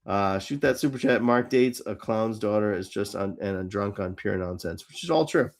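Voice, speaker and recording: A man's voice: lowish voice, moderate pace. A man hosting a live stream, talking in a casual, rambling and opinionated way about fan interests.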